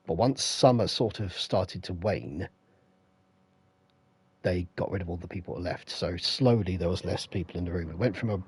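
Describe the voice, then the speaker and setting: An English man with a croaky voice, feeling unwell, rambling through stories while greeting his live stream chat on a headset. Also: low voice, darkish tone, no echo. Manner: rambling, anecdotal, stops to greet viewers. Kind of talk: casual storytelling to live chat.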